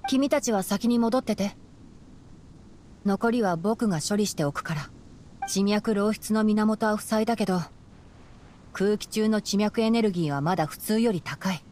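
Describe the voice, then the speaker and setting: A woman voicing a calm young male fox-eared forest scholar in a Japanese anime game, explaining in a measured, boyish way. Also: medium pitch, a little hiss or hum, natural voice. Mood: composed, serious. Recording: music behind the voice.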